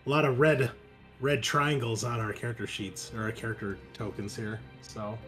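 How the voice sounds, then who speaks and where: A man's voice: mid-range voice, steady tone, moderate pace, music in the background. A man acting as game master, casually narrating and explaining things to players.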